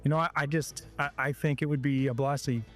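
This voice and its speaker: Man, 40s, from Ohio, football coach, interview answers, halting, repeats words, faint music. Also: medium pitch, steady tone, fairly bright tone. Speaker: high school football coach.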